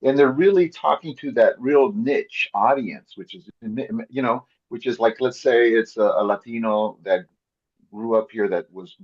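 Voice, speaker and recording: A man's voice: medium pitch, unhurried, highs cut off. A middle-aged or older man, a veteran comedian talking casually on a panel.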